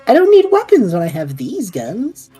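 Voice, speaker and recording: Lady doing a scatterbrained guy adventurer, low husky dark voice, all over the place, faint music. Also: normal speed, exaggerated voice. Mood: excited. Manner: excitable, joking, reckless. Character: eager scatterbrained young male adventurer hero. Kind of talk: fan audio drama.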